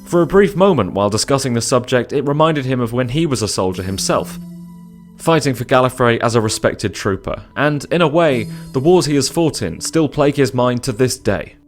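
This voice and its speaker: A man's voice: medium pitch, expressive, a little fast, soft background music. A man narrating a scripted audio drama in a dramatic storytelling style.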